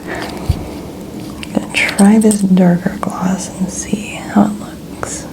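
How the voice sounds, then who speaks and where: A woman in her twenties speaking in a soft, breathy near-whisper, chatting casually and gently as an ASMR host. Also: medium pitch, little pitch movement, slow pace, poor audio. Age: 20s. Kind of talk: soft-spoken ASMR, casual personal chat.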